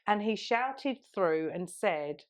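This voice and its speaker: A woman's voice: medium pitch, lively intonation, takes it slowly. A woman in her thirties co-hosting a podcast, telling a rambling story in casual chat and laughing often. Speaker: podcast co-host.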